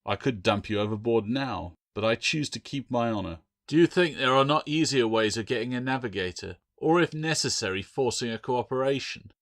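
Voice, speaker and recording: A proud pirate captain from a seafaring fantasy audiobook, performed by a man. The voice is fairly low, measured and formal, with the shrewd authority of someone used to giving orders. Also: natural voice. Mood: stern.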